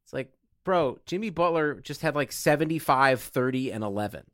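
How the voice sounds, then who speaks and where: A man's voice: mid-range voice, expressive, normal speed, a bit bright, no echo, clean studio sound. An American man co-hosting a podcast, debating sports in a casual, conversational way. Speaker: podcast co-host.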